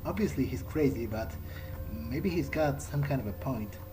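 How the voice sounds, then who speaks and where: A man's voice: mid-range voice, animated, moderate pace, poor audio. A man doing in-character let's play commentary on a video game, hesitant and thinking aloud. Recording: game music clearly behind voice. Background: video game soundtrack.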